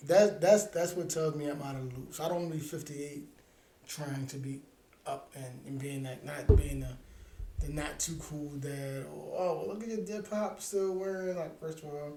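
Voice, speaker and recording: Thirtysomething guy on a podcast, chill and cussing, mellow tone, echoey room, faint background noise. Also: a little high, steady tone, moderate pace. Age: 30s. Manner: laid-back, profane, joking. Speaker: podcast co-host. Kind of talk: casual chat.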